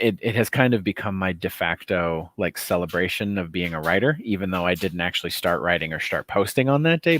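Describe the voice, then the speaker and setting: A man's voice: low-pitched, a bit bright. A man hosting a livestream, chatting casually and joking, rambling with many fillers. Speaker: host.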